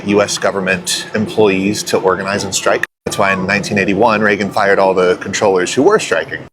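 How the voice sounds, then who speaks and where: Deep-voiced man explaining, faint background noise. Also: a bit bright. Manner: matter-of-fact, didactic. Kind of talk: explanatory commentary.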